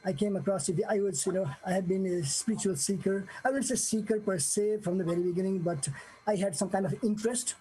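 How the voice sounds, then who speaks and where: A man in his forties from Nepal, giving an unscripted personal testimony with many pauses, fillers and restarts. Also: high-pitched, dark tone, husky, low-quality recording. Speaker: guest sharing a testimony.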